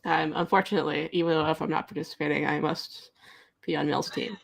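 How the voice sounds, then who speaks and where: A woman speaks in a fairly low voice with heavy vocal fry. She is a panelist in a casual livestream book chat, giving her views in a frank, informal way. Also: steady tone, fast talker. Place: remote livestream call.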